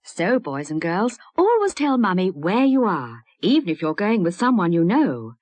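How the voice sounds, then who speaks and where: A woman's voice: mid-range voice, sing-song, normal speed, darkish tone, no room sound. A woman narrating scripted advice to children in an instructive, emphatic way. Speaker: narrator of a children's safety film.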